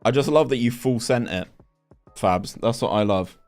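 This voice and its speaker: A man's voice: medium pitch, moderate pace, darkish tone, soft background music. Chatty streamer man. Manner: chatty, reads chat aloud, many restarts. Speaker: gaming streamer. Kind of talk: casual live stream chat.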